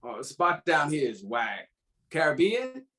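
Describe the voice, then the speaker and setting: Man acting slow, laid-back American old flame. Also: fairly high pitch, wildly swooping pitch, sharp tone, exaggerated voice. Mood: easygoing, joking. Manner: slangy, casual, bantering. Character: Anthony, laid-back bantering homeowner, woman's old lover. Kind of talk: informal screenplay table read.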